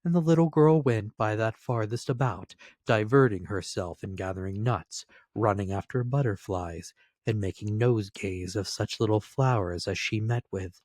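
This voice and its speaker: A man's voice: lowish voice, moderate pace, darkish tone, no echo, studio quality. A man giving a dramatic scripted reading of a fairy tale as storyteller.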